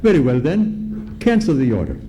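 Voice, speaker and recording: A man's voice: mid-range voice, huge pitch swings, normal speed, dark tone. An actor playing a fussy, indignant elderly man in an old-time radio comedy, talking in a pompous, sputtering, old-fashioned way. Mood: outraged. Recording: noisy live ballroom stage recording.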